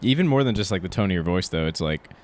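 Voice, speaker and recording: Low bassy laid-back man. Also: expressive, fast talker, darkish tone. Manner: laid-back, swears freely, laughs often. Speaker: podcast host. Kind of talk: casual chat. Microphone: in-person podcast mic with phone backup.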